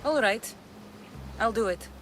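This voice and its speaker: An actress playing a tough young mercenary in a video game, blunt, guarded and dryly sardonic. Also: female voice, fairly high pitch, expressive, unhurried, background noise. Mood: businesslike. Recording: loud music behind voice.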